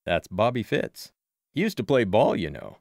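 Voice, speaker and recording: Man doing a loud, burly small-town sports fan. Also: lowish voice, big pitch swings, moderate pace, no room sound, clean studio sound. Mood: chummy, opinionated. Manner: loud, punchy, colloquial. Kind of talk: audiobook character voice.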